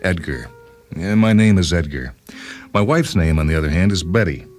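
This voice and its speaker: A man's voice: low voice, expressive, moderate pace, faint music behind. A man reading as a disgruntled, self-justifying inventor, wry and fussily verbose, in a first-person short story. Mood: rueful.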